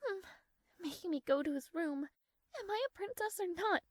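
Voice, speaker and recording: Woman voicing a proud young princess, high-pitched, dry recording, formal diction, imperious yet flustered, tsundere, romantic audio drama. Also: expressive, moderate pace, no room sound. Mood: haughty, impatient. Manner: haughty, formal, flustered hesitations. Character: proud, strong-willed tsundere young princess, guarded but fond. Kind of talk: scripted romantic audio roleplay addressed to the listener.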